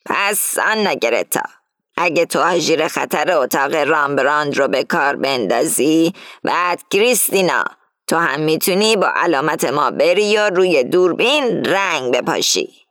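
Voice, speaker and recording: A woman reading as an older female gang leader who lays out a heist plan firmly and deliberately. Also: deep voice, expressive, fairly bright tone, dry recording, studio quality. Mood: scheming, instructing. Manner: firm, explaining slowly and deliberately.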